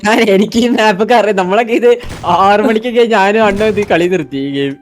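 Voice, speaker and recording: A man's voice: high voice, quick pace, slight background noise. A man with a Malayali accent chatting casually with other players in a game's voice chat.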